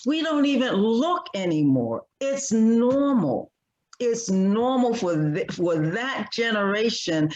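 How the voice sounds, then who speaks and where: A man speaking as a panelist in an online discussion, earnest and repetitive, halting with many restarts. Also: high voice, sing-song, muffled recording.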